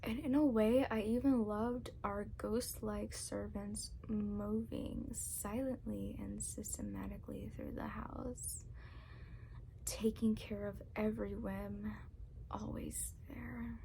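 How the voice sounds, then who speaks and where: An ASMR reading by a soft-spoken woman who often sinks into a whisper. Her delivery is unhurried, with a dark, mellow tone and some vocal fry. A faint background noise sits under the voice. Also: medium pitch, steady tone, poor audio. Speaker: ASMR reader. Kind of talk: ASMR reading aloud of a novel.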